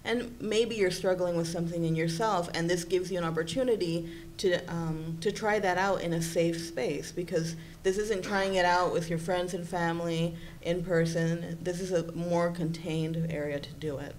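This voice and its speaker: Spanish-native woman on a panel, lowish voice. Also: fairly even intonation, moderate pace, hardly any pauses, darkish tone, rough recording. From Puerto Rico. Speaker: panel speaker, psychology doctoral candidate. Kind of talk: convention panel talk. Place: hotel conference room with audience.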